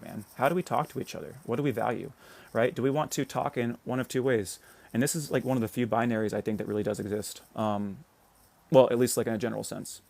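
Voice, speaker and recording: American guy going off on a livestream, talks quick, medium-pitched voice, lots of "right?" and cussing. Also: darkish tone. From United States. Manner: rambling, peppers talk with "right?" and swearing; reads out and answers viewer comments. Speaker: livestream host. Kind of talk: casual political livestream monologue.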